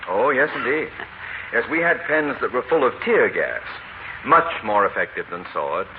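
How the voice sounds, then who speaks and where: A genteel, self-important mayor in a 1940s radio comedy. He talks with urbane pomp and very varied intonation. The old broadcast sounds thin and clearly noisy. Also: mid-range voice, very animated, moderate pace, narrow, tinny audio. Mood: urbane, pleased. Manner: urbane, pompous.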